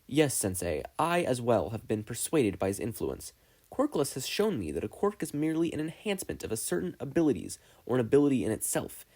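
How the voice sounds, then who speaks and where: An earnest, very formal teenage schoolboy acted by a man in an audio story. The voice is slightly husky and lively in pitch, with stiff, emphatic, declarative phrasing. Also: fairly quick.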